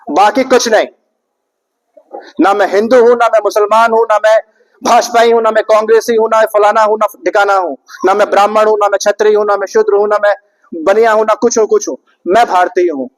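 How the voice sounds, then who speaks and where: A man's voice: high voice, low-quality recording. A young man giving a public speech to an audience, rhetorical and repetitive, putting questions to the listeners. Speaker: speaker at an Independence Day event.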